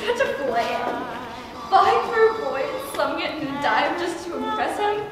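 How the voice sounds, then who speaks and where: A woman's voice: very high voice, very animated, normal speed. A woman acting a prim, sarcastic rich young lady in a stage play. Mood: indignant. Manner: prissy, sarcastic. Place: large theater stage, distant camera. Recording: echoey theater from far off, music behind.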